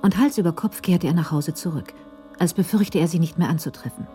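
Low, mellow woman narrator. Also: brisk, faint music behind. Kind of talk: scripted narration of a radio crime drama.

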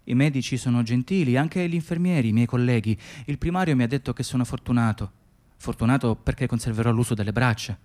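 An actor playing a weary, dutiful Moroccan immigrant hospital worker in a radio drama, speaking in a plain, insistent monologue. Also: male voice, mid-range voice, quick pace, a little mellow, lightly acted. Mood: wounded, bitter. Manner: clipped inner monologue and plain testimony.

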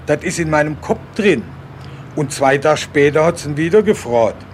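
A man's voice: fairly high pitch, animated, moderate pace, a little hiss or hum. An older man telling his memories as an eyewitness in a spontaneous, folksy way. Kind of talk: spontaneous oral storytelling.

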